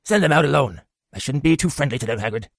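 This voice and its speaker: A cruel school caretaker in a storybook reading. His pitch moves around a lot and he talks briskly, with a nasty, gloating, menacing manner. The sound is close and dry. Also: lively intonation, creaky, no echo. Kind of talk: audiobook character acting.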